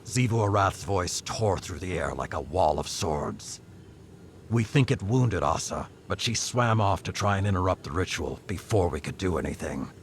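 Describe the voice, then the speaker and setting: An actor playing a stern old warlord in a video game, gruff, formal and commanding. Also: male voice, low voice, expressive, normal speed, slight background noise. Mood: grave, urgent. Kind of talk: dramatic video game voice acting. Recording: game dialogue over background music.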